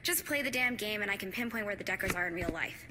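A woman's voice: mid-range voice, animated, quick pace, background noise, natural voice. An actress playing a blunt, antisocial young female hacker in a video game, curt and matter-of-fact. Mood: blunt, deadpan.

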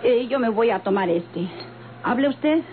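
A woman's voice: on the high side, lively intonation, measured pace. An actress playing a stern, bitter motel-owning mother in an old radio soap, blunt and melodramatic, with a northern Mexican accent. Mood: wary, probing. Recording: tinny, noisy vintage radio recording.

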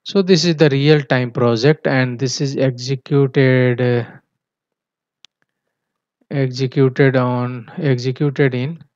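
A man giving a technical tutorial in a halting manner, often punctuating his explanations with a checking "okay". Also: mid-range voice, steady tone, darkish tone, no room sound. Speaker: engineering tutor. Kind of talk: tutorial walkthrough of technical drawings. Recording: dull, muffled lo-fi voice track.

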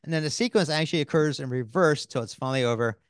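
A man's voice: a little high, smooth, no echo. Older male co-host, upbeat scripted delivery, dry studio sound, handheld mic. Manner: upbeat, reads scripted lines with quick affirmations. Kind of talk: scripted corporate town hall chat. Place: studio set in front of a screen.

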